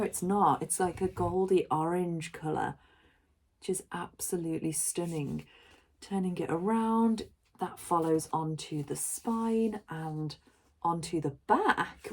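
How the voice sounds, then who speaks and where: A woman with a slightly low, husky voice talks casually through an unboxing. She takes her time, thinking aloud in small pauses, and gushes in the manner of a book vlogger. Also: a little mellow.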